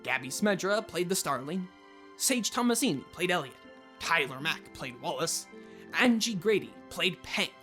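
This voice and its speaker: A man's voice: high voice, lively intonation, moderate pace, sharp tone, faint music behind. A young man reading a scripted credits announcement for an audio drama. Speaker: cast member reading credits.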